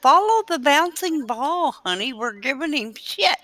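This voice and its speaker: Female chat participant, forceful and lively, unhurried, bluntly teasing. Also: sits high, dry recording. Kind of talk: casual chat.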